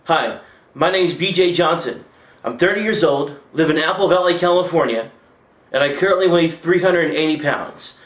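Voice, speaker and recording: A man's voice: on the high side, normal speed. A man in his thirties giving a rehearsed self-introduction to camera for an audition tape, repeating it in several takes. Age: 30s. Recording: roomy echo.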